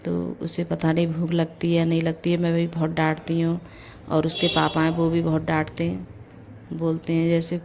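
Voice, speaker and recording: A middle-aged mother with a hoarse voice from a cold, chatting through a casual home vlog as she cooks breakfast and goes on with her housework, often saying "jaise" between her words. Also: low-pitched, completely flat delivery, moderate pace, noisy background. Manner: chatty, leans on the filler "jaise". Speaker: vlogger, mother. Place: home kitchen.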